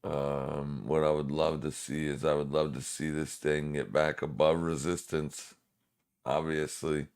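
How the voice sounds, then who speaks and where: A man's voice: extremely low pitch, level tone, a little slow, darkish tone, clean tone. A man with a smoker's voice giving casual, laid-back live market commentary to viewers as a streaming trading host.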